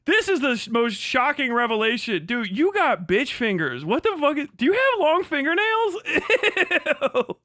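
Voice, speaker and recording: A young man hosting a live stream, tearing into people with crude, mocking jokes and frequent laughter. His voice sits very high and swings widely in pitch. The sound is bright and dry, with no room echo. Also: big pitch swings, measured pace, hardly any pauses. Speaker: livestream host. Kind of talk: profane live reaction commentary.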